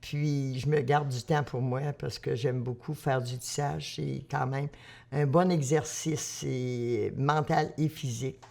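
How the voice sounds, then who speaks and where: A woman in her sixties from Northern Ontario with a Franco-Ontarian French accent, talking in a plain-spoken, steady way in a documentary interview. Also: rumbling low, unhurried, vocal fry. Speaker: retiree and volunteer.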